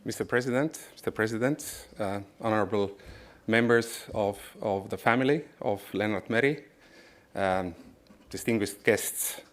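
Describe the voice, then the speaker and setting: A man in his forties from Estonia delivers a formal lecture with a fairly low voice. He speaks in a measured, formal way and sometimes stops to restart a phrase. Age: 40s. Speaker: guest lecturer.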